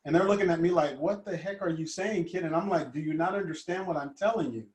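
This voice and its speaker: A man in his fifties from Honduras, a guest life coach telling his childhood story in an earnest, gentle way with many fillers. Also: fairly high pitch, quick pace, reverberant. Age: 50s. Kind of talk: conversational storytelling.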